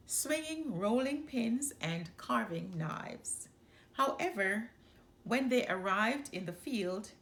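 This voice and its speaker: A woman reading a children's story aloud as a storyteller, with deliberate, expressive phrasing. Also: medium pitch. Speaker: storyteller, channel host.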